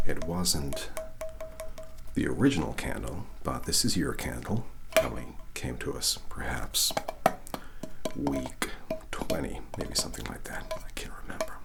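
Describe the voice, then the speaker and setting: A man softly guiding an ASMR reiki session, half-whispering slowly and checking in with "okay?". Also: a bass voice, vocal fry, very noisy. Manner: whispery, slow and soothing, frequent "okay?" check-ins. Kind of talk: soft-spoken ASMR guided session.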